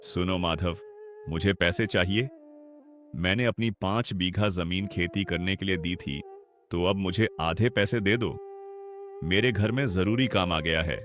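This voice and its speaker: A man's voice: deep voice, unhurried, a bit bright. An actor playing a stern, greedy village headman in a cartoon, bossy and threatening. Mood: demanding. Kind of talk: cartoon voice acting. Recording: music behind the voice.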